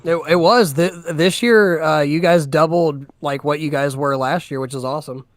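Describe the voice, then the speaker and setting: A man's voice: on the high side, no echo, clean studio sound. A man joining a stream call as a guest DJ, chatting enthusiastically and laughing as he tells stories. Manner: enthusiastic, laughs while telling stories, fast bursts. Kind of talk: casual chat on a voice call.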